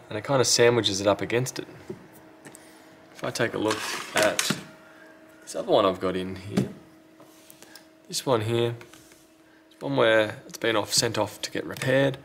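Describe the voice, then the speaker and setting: A man with a low, creaky voice talks through a hands-on repair in a casual way. He thinks aloud as he goes, tentative and correcting himself. There is faint noise behind him. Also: fairly bright tone. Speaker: repair technician, video host. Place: indoors at a repair workbench.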